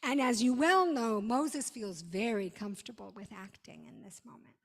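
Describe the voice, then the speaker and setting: A woman rabbi giving a sermon in an emphatic manner, with dramatic pauses and short repeated phrases. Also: fairly high pitch, lively intonation. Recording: long reverberant hall.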